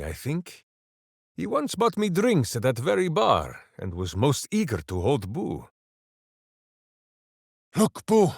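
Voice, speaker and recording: A man's voice: mid-range voice, no room sound, clean studio sound, exaggerated voice. A man playing a dim, big-hearted warrior hero in a video game, boisterous and theatrical, speaking of himself in the third person. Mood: heroic, boisterous. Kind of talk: video game character voice lines.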